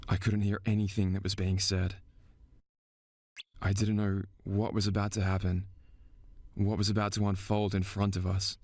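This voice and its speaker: A man's voice: deep voice, flat delivery, normal speed, long pauses, darkish tone, no room sound, studio quality. A man playing a somber, resolute warrior narrating his memories in a game fandub. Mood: grave, resolute. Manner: solemn, earnest. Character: somber, resolute young warrior recalling a war. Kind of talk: dramatic scripted game narration.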